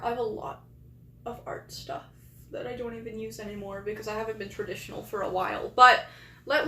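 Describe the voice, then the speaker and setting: A woman's voice: on the high side, a bit bright, reverberant, slight background noise. A young woman born in England with a Canadian accent, chatting casually to her stream chat while rearranging her desk setup. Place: small rented room at a desk.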